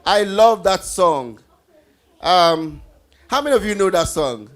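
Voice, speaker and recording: Higher-voiced man leading children's questions. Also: lively intonation, takes it slowly. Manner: encouraging, instructive, repeats answers and prompts with questions. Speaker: host leading children's Bible trivia. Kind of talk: interactive children's teaching on stage. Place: event stage before a group of children.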